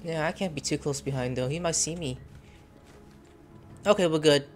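A man commenting on his gameplay, loud, excitable and swearing, speaking at a desk mic with game audio under him. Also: a little high, moderate pace, faint music behind. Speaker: gaming streamer.

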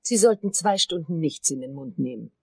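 An actress playing an eerily calm, hypnotically coaxing dentist in a crime radio drama, speaking in a breathy way. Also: female voice, sits low, moderate pace, no echo, heavily acted. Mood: assured, mysterious. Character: eerie, hypnotically soothing female dentist, sinister beneath the calm.

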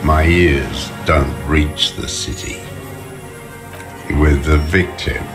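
An actor playing a bitter, contemptuous old deserter in a video game, gravelly and muttering with scorn. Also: male voice, rumbling low, fairly even intonation, slow pace. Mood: scornful. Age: older. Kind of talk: dramatic video game voice acting. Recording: music under the voice. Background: background music.

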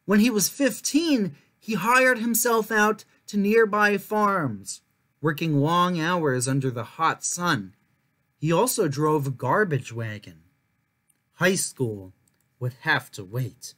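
A young man reading a children's picture book aloud as a storyteller, in measured, clearly phrased narration. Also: on the high side, expressive, sharp tone. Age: young adult. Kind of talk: scripted narration, story time read-aloud.

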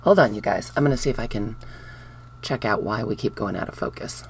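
Woman craft host, upbeat and chatty, gravelly voice, noisy home recording. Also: rumbling low, a little fast. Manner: chatty, upbeat, talks to viewers while handling papers. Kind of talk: casual craft demonstration. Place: indoors at a wooden craft table.

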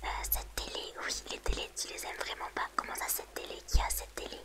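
A woman streamer whispering softly and gently to her viewers in an ASMR livestream, close to the mic. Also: a little fast.

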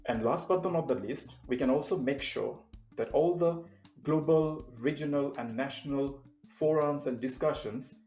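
A young man from Bangladesh, a sustainability consultant, giving a prepared remote talk in a steady, measured way with occasional fillers. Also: medium pitch, reverberant. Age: young adult. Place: indoor room with plain wall.

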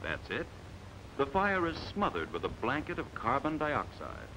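An American man narrating a scripted 1950s training film in a measured, authoritative, emphatic manner, on a vintage soundtrack. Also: medium pitch, huge pitch swings, background noise.

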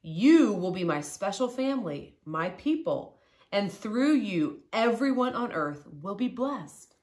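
A tender storybook God, voiced by a woman reader makes promises with calm assurance. The delivery is solemn and deliberate, leaning firmly on key words. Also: mid-range voice, pitched above the performer's own voice. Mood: solemn promising.

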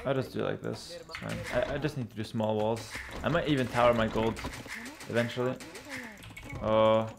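Young man streaming, voice a bit raspy. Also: lowish voice, moderate pace. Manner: relaxed, thinking aloud, reading chat. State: slightly raspy voice. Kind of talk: casual gameplay commentary. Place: small home room, indoors. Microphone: headset.

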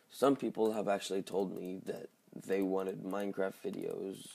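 A man with a slightly hoarse, just-woken voice giving casual, halting gameplay commentary as he thinks aloud. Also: low voice, darkish tone, a little hiss or hum. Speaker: gaming video host.

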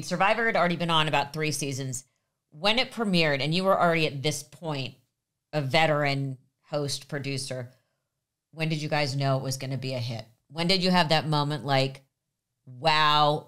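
A woman hosting an interview, giving brief reactions and asking quick questions. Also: sits low, a bit bright. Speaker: podcast host. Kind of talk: casual interview.

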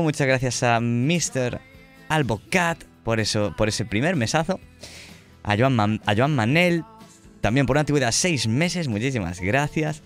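A man acting as game master, closing a tabletop campaign and thanking his players and viewers. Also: medium pitch. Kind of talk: narrating and thanking players on a live stream. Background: faint music.

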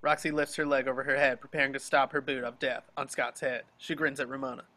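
A man's voice: mid-range voice, flat delivery, quick pace, bright, forceful tone. A man reading stage directions as scripted narration for a dramatic table read over a video call.

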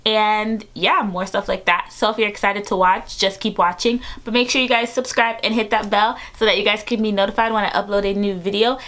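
A woman's voice: medium pitch, fairly even intonation, brisk, hardly any pauses, a bit bright. Chatty young woman vlogging in her bedroom. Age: 20s. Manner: chatty, upbeat, many fillers. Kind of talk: casual vlog talk to camera. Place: bedroom, indoors.

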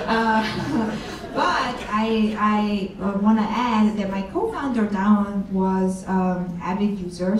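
A woman's voice: mid-range voice, background noise. Woman, startup co-founder on a panel, hesitant with fillers; far camera in a very echoey hall. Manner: hesitant, many fillers and restarts. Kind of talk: panel discussion answers. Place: large event room with audience. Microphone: handheld mic, far camera. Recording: echoey room recorded from far off.

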